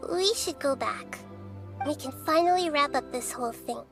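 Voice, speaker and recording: A woman voicing a young, childlike female deity in a video game, calm, wise and firm, speaking in a measured, formal way. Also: high voice, music in the background. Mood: solemn, firm. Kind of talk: video game dialogue acting.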